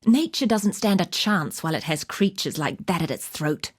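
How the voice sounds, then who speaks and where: An actress playing a tough, wry wilderness huntress in a video game, speaking in a measured, dry, matter-of-fact way as she hands out hunting quests. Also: on the low side, expressive, breathy edge. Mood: grim, determined. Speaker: game character voice actor.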